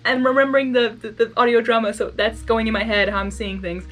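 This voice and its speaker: A woman reading fan fiction aloud expressively, breaking into chatty, giggly, excitable asides. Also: a little high. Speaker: story reader.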